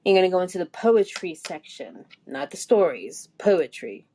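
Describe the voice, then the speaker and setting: A woman's voice: lowish voice, big pitch swings, moderate pace, mellow, husky, low-quality recording. A woman co-hosting a podcast in casual, informal conversation. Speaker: podcast co-host. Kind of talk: casual chat.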